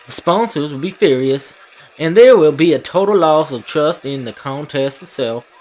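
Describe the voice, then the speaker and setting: A man's voice: a little high, lively intonation, moderate pace, a little mellow, lightly acted. A man voicing a challenging press reporter in an anime fan dub, with a pointed, questioning manner.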